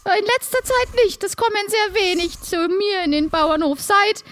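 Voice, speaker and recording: A very high-pitched woman shopkeeper from a farm, acted out by a woman. She is polite and wordy, feels her way hesitantly through sentences and carries a sense of grievance, as in an improvised audio play. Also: expressive, moderate pace, fairly bright tone, a little hiss or hum. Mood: aggrieved. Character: friendly, aggrieved woman farm-shop keeper.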